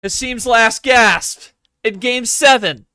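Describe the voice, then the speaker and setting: An excitable commentator calling a card game live like a sporting event, prone to exclamations. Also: medium pitch, slow, clear, resonant tone, no room sound, studio quality. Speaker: play-by-play commentator.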